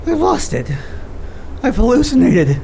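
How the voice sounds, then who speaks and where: An actress playing a detective who panics, swears and stammers, her voice gravelly and shaken. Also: high voice, sing-song, a little slow, dark tone, a little hiss or hum, exaggerated voice. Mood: rattled. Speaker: detective Carrigan.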